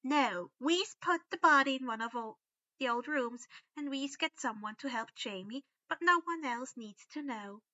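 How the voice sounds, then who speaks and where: A woman's voice: sits high, lively intonation, moderate pace, pushed and bright, no room sound, lo-fi sound. A woman voicing an earnest, protective male house elf servant with emphatic, broken servant grammar in a story reading. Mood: warning.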